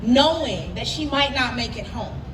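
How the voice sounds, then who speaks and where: A woman speaking as a guest poet in a live interview, reflective and emphatic with deliberate phrasing. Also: a little high, expressive. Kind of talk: live on-stage interview, conversational. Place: live event space with audience. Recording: echoey, noisy room, distant mic.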